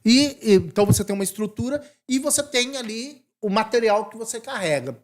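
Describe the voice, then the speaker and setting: A man from Brazil in his forties, a missionary talking casually in an interview, animated and thinking aloud with restarts. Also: high-pitched, fairly quick. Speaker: missionary guest.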